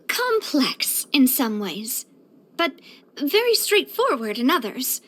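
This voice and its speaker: A woman voicing a bright, earnest, sociable young female leader in a video game, chatty and expressive. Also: sits high, exaggerated voice. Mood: pensive, wry. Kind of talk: video game character voice lines.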